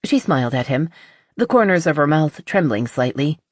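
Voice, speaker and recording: Audiobook narrator, scripted romance fiction, medium pitch, dry studio-like sound. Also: sing-song, normal speed, dry recording.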